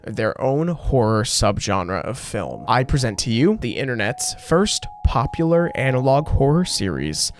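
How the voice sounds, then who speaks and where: A man's voice: mid-range voice, big pitch swings, unhurried, few pauses. A man giving a scripted narration for a video essay.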